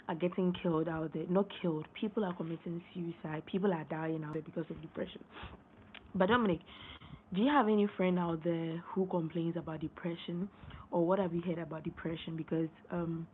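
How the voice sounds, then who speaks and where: A young woman hosting a casual late-night live stream, hoarse and losing her voice, rambling and repeating herself as she clears her throat. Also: fairly low pitch. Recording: tinny phone-line sound, faint noise.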